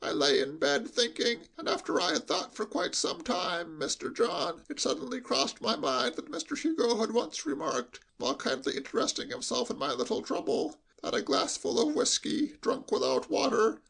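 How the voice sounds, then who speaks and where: A bleating old butler. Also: male voice, very animated, gravelly, slightly rough, full character voice. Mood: timid, confiding. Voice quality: high bleating quaver. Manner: slow, deferential, hushed. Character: old male butler, bleating, timid and wordy.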